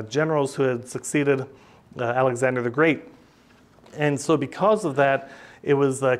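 A middle-aged man, preaching a teaching sermon at a podium in a small church and speaking plainly with many "uh" and "um" fillers. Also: mid-range voice. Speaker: pastor. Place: small church room, indoors. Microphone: podium microphone.

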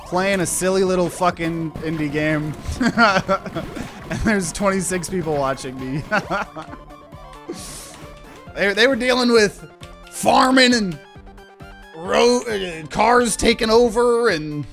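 A man in his twenties streaming a game, chatting with viewers, excitable and swearing freely. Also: sits high. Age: 20s. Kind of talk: live gameplay commentary.

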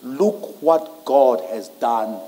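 A man's voice: medium pitch, very slow, mellow, slight background noise. A middle-aged South African man preaching a sermon in an emphatic, repetitive, declamatory manner in a church hall.

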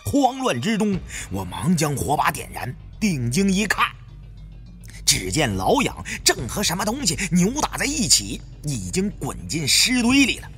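A man reading dramatic first-person audiobook narration with an expressive storyteller's delivery. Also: on the high side, unhurried, faint music behind.

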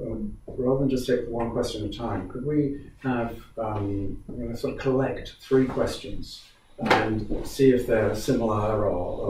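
A man hosting a gallery conversation, easygoing and prompting questions from the audience. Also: on the low side. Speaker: conversation host and moderator. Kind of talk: moderating a gallery talk. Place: small gallery room with seated audience. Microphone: far camera. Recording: echoey room from far off, background noise.

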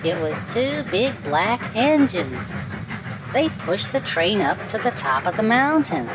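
A woman reading a storybook aloud to preschoolers with clear, deliberate enunciation. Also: medium pitch, big pitch swings, background noise. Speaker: children's storybook narrator.

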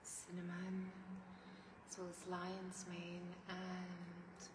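Soft-spoken young woman, slow and flat. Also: lowish voice. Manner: gentle, rambling, with drifts into soft whispers. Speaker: ASMR creator. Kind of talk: casual ASMR eating video. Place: bedroom, sitting on a bed. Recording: echoey room from far off, noisy.

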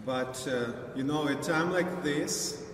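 A middle-aged man with a Ukrainian accent speaks slowly, pausing as he searches for words. His voice sits a bit high for a man. It carries a long church reverb and is picked up from far off, with faint rumble behind it. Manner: emotional, halting, searching for words. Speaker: choir singer. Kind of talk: heartfelt personal testimony. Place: large church interior. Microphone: far camera mic.

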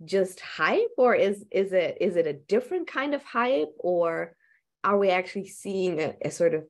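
A woman in her thirties moderating a panel discussion over a webcam, somewhat hoarse, speaking animatedly with rambling questions. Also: on the low side, sing-song, normal speed. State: losing her voice. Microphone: video call webcam.

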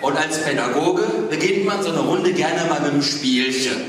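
A man's voice: a little high, normal speed, fairly bright tone. A man casually moderating a panel on stage at a fan convention. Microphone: handheld mic, heard from far camera. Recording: echoey hall from far off, audience noise.

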